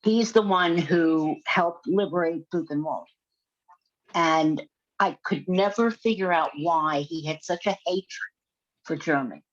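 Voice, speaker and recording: A woman's voice: deep voice, a little slow. An older woman asking a question on a video call, speaking emotionally and repeating her words. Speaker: audience member in Q&A. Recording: dull lo-fi video call audio.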